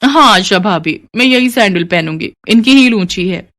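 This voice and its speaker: A woman voicing an eager, easily swayed young bride in an animated story, gushing and girlish. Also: medium pitch, moderate pace. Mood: breezy, insistent. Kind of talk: animated moral story character dialogue.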